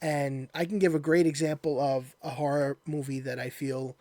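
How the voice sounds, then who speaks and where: A man's voice: a little high, fairly even intonation, moderate pace, a little mellow, no echo. A man hosting a casual interview, talking in an agreeable, conversational way. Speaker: podcast host.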